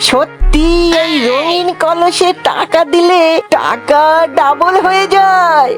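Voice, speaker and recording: A performer voicing a gullible, excitable male fox husband in a comic cartoon, exclaiming and repeating words in a sing-song way. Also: extremely high pitch, slow, faint music behind, full character voice. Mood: bewildered.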